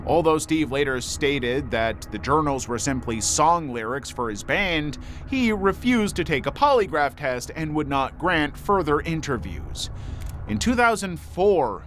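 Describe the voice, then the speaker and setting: A man's voice: fairly high pitch, sing-song, a little slow, fairly bright tone, slight background noise. A man reading scripted true crime narration as the narrator of a video. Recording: music bed under the voice. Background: background music.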